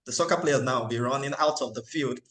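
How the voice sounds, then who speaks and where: A man hosting an online panel talks in a loose, conversational way, padding his sentences with fillers and the odd joke. His voice sits in a middle pitch and comes through a video call. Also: a little fast, fairly bright tone. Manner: conversational, with fillers and light jokes. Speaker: webinar moderator.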